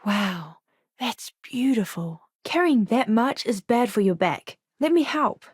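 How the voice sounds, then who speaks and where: A woman voicing an earnest, eager young ship's doctor in a video game, with a polite, expressive manner. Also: fairly high pitch, slow pace, husky, dry recording, studio quality, exaggerated voice. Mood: eager, friendly. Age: young adult. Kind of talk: game character voice lines.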